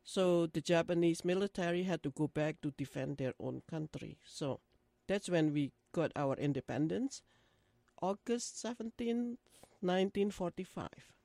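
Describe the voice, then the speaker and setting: A woman's voice: lowish voice, expressive, measured pace, gravelly, warm tone, no room sound. An older woman from Indonesia, speaking hesitantly with many fillers and frequent laughs in an oral history interview. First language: Dutch.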